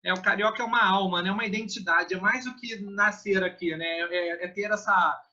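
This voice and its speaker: High, bright-voiced male interviewer on a dull line. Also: steady tone, fairly quick. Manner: chatty, leading questions with tag "né". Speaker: interview host. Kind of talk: casual interview questions.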